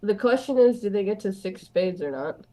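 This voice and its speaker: A woman co-commentating live bridge on a video call, teasing the others in quick banter. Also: mid-range voice, lively intonation, crowd noise, low-quality recording.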